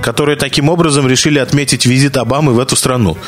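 A man's voice: medium pitch, steady tone, very fast, soft background music. Dry man steering a comic chat. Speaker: show host. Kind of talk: humorous news chat.

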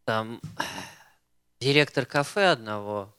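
A man's voice: mid-range voice, takes it slowly, pushed and bright, clear, resonant tone, no room sound. A man answering as a guest in a football chat, giving short, dry, joking replies. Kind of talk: casual chat about football.

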